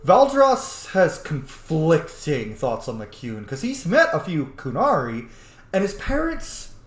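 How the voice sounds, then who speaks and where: Young roleplaying streamer, mid-pitched and lively, informal think-aloud commentary with cursing. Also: takes it slowly, roomy sound. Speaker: video game streamer, roleplayer. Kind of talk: casual playthrough commentary.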